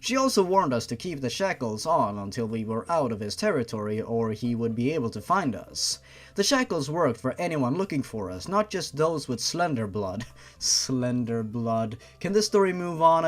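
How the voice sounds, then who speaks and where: A man with a slightly high voice that swings widely in pitch. He reads fiction aloud as a host who mocks it, sounding sarcastic and exasperated. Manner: sarcastic, exasperated, profane asides. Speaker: host reading and mocking fan fiction. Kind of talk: dramatic story reading with sarcastic commentary.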